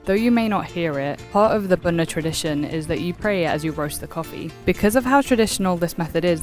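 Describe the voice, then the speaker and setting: Woman narrating over guitar music. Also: sits low. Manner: measured, explanatory.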